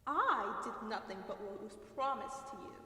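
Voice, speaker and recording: A woman playing an aloof, all-knowing female demon in an amateur audio drama, speaking in formal, measured phrases. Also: on the high side, expressive, bright, forceful tone. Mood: formal, unbothered. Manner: formal, stilted, measured speech. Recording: echoey reverberant room.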